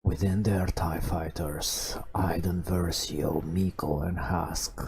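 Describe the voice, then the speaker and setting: A man softly narrating a video game as an ASMR bedtime story, hushed and drawn out, with long pauses. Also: low-pitched, little pitch movement, rough recording. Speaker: host narrating gameplay. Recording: music behind the voice. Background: game music.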